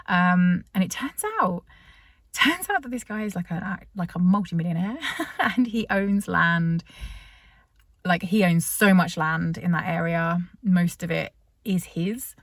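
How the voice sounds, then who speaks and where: A woman's voice: lowish voice, unhurried, no echo, clean studio sound. A British woman vlogger telling a story in a casual, chatty way, full of fillers and restarts. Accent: British English.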